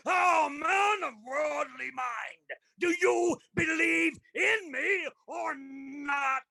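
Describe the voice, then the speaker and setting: A tormented male ghost of a dead miser in a theatrical radio play. The voice is very high and creaky, dragging slowly through mournful declamations. It moans with remorse and stretches out its cries of woe. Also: bright, forceful tone, shouting, exaggerated voice. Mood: anguished, demanding. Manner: slow, declamatory, mournful, moaning drawn-out cries.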